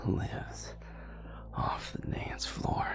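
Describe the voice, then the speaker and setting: A man playing an amorous, teasing vampire lover in an intimate romantic audio roleplay, murmuring slowly in a breathy, gravelly whisper with soft hums. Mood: aroused, teasing. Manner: slow, murmuring, lingering over words with soft hums.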